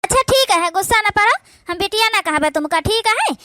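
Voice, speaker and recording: A woman with an Awadhi accent playing a gentle, patient young stepmother in a cartoon, coaxing and soothing as she speaks. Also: very high voice, lively intonation, brisk, dry recording, studio quality, full character voice. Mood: gently pleading. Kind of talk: amateur comedy cartoon dialogue.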